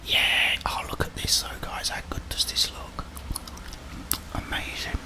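A middle-aged British man giving whispered ASMR commentary on a video game, muttering his thoughts aloud. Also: unhurried. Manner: muttering to himself, thinking aloud. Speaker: gaming streamer.

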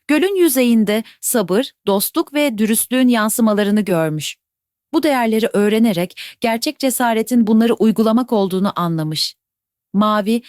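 A woman telling a children's bedtime fairy tale in a gentle, even storytelling voice. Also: medium pitch, dry recording, clean studio sound.

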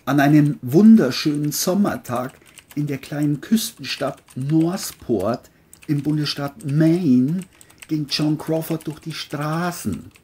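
A mellow male crime writer acted by a man in a radio play. His husky voice is formal and deliberate. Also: a little high. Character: boastful male bestselling crime novelist. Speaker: writer and narrator of his novel.

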